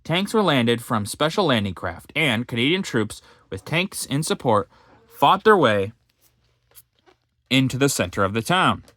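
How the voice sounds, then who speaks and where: A man reading a history book aloud, with halting reading, fillers and self-corrections, stopping now and then for casual comments. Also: medium pitch, fairly bright tone, no room sound. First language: English.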